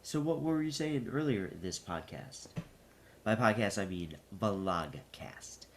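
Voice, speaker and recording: A man with a very low voice speaks slowly and wryly, stuffy and sniffly. Faint background noise hums behind his rambling chat. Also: expressive. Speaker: vlogcast co-host. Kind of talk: casual chat.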